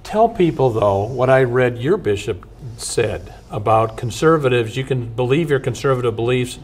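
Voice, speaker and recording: An older man hosts a sit-down studio interview and speaks bluntly as a clergyman. He pushes with summarizing questions and short interjections, in a voice of middling pitch. The sound is clean and close. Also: expressive, moderate pace. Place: studio set with bookshelf backdrop, seated at table.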